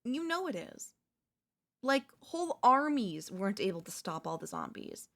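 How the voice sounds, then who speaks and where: A woman's voice: a little high, very animated, normal speed, creaky, fairly bright tone, heavily acted. A woman voicing a chatty female zombie who cheerfully and conversationally coaxes in a comedic audio roleplay. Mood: placating, coaxing. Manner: conversational, coaxing, wheedling. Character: chatty, cheerfully persuasive female zombie craving human flesh.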